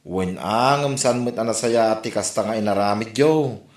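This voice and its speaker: A man's voice: lowish voice, normal speed, lightly acted. A man playing an exasperated, reproachful grown son with an Ilocano accent in a comedy drama. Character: exasperated grown son scolding his drinking father. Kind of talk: Ilocano comedy radio-style drama acting. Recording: roomy echo.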